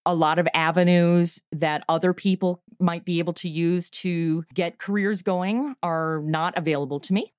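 A middle-aged woman talking through her creative work in a relaxed interview, upbeat and laughing easily. Her voice sits a little low for a woman. The recording is dry and close, with no room around it. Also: smooth, no room sound. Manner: animated, laughs often, quick asides. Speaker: podcast creator and guest. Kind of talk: casual interview chat.

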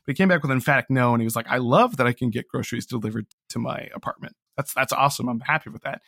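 A man with a mid-pitched voice talks as an interview guest in a close, dry recording. He is reflective and casual, earnest and a little self-deprecating, often restarting his phrases. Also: rapid-fire, gravelly, fairly bright tone, no room sound. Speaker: interview guest, audio drama writer.

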